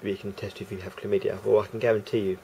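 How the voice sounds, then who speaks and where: A man with a croaky voice talking casually to camera as a vlogger, rambling and hesitant with many fillers and restarts. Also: fairly low pitch, little pitch movement, fast, mellow, a little hiss or hum.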